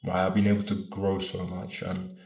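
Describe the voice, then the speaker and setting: Man in his twenties with a deep voice, earnest and rambling, talking to camera in a roomy space. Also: completely flat delivery, normal speed, darkish tone. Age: 20s. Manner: earnest, rambling, often adds "right?"; casual swearing. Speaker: vlogger. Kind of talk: casual talk to camera. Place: room in Bogota.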